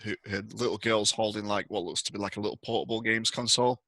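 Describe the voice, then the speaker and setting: A man's voice: on the low side, steady tone, fast, bright, forceful tone, no echo. A man narrating a tabletop roleplaying game as game master, describing scenes to players.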